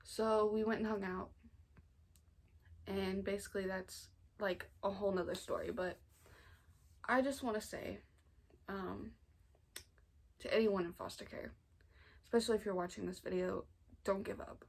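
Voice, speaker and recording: A young American woman of about nineteen, talking informally and earnestly in a halting way, as in a personal storytime vlog. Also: mid-range voice, fairly even intonation, creaky. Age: 19, nearly 20. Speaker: family vlogger. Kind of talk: personal storytime vlog, casual confessional talk.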